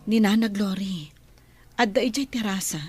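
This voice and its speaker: A woman playing a gentle, consoling wife in a radio drama, speaking in a soothing, hushed way. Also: mid-range voice, moderate pace, breathy edge, soft background music, natural voice. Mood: concerned, gentle. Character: gentle, consoling young madam and wife, Olivia. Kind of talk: radio drama dialogue in Ilocano.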